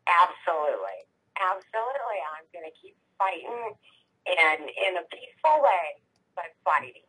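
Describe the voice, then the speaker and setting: A woman calling in to a radio show, with a congested, husky voice, talking in a halting, emotional way. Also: on the low side, very animated, sharp tone. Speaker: caller. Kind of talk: call-in radio conversation. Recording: phone line.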